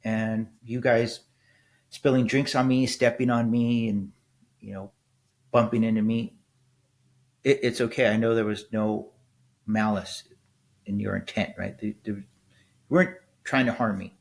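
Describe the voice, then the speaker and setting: A man's voice: lowish voice, steady tone, measured pace, creaky, pauses often. A man in his fifties, a military veteran hosting a casual solo podcast, talking bluntly and rambling, often ending points with "right?". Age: 50s. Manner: blunt, rambling, frequent restarts and "right?" tags.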